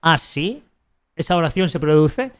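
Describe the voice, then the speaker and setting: Sarcastic Spanish man, higher voice. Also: expressive, clean tone. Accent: Spain. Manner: sarcastic, mocking asides; halting, frequent restarts while reading. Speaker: streamer. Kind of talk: live-stream commentary, reading a text aloud.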